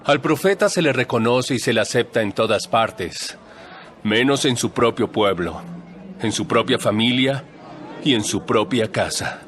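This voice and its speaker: An actor playing a calm, authoritative male teacher in a dramatized Bible recording. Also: medium pitch, measured pace. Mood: solemn. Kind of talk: dramatized Bible audio acting.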